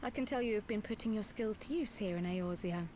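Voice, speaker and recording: A woman's voice: medium pitch, fairly quick, background noise, natural voice. A woman playing a small, teasing, self-assured fantasy scholar in a video game, with wry, precise and formal phrasing.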